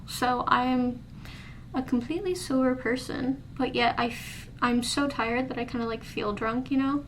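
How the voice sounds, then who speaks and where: A young woman in her early twenties with a high, light voice chats to the camera from her bedroom. She talks candidly and rambles, restarting her sentences as she goes, over a faint hum of background ambience. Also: fairly bright tone. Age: early 20s. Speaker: vlogger. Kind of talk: casual diary vlog. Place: bedroom indoors. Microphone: camera in front of her face.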